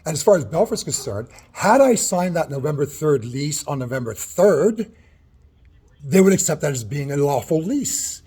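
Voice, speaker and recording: Agitated man with a high, husky voice. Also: big pitch swings, normal speed. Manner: agitated, loud, interrupting, swearing. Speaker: tenant. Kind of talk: heated in-person complaint. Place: city hall office, indoors.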